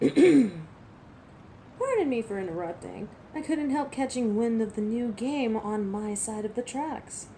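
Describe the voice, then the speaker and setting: A woman's voice: on the high side, unhurried, mellow, a little hiss or hum, lightly acted. A woman playing a cocky, teasing werewolf in a scripted audio roleplay, sardonic and protective with an edge of menace, speaking to the listener. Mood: cocky, taunting. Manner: sardonic, taunting, playful.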